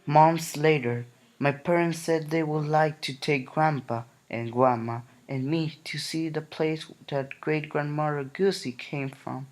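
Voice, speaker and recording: A man reading a children's picture book aloud in a gentle, unhurried way. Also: fairly high pitch, steady tone. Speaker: narrator. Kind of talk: storybook reading.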